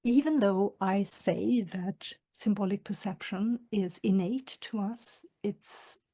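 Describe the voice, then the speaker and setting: A woman from northern Sweden, an author giving a reflective webinar talk, soft-spoken and halting with many fillers, over a video call. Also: medium pitch, husky. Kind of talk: reflective lecture with read quotations.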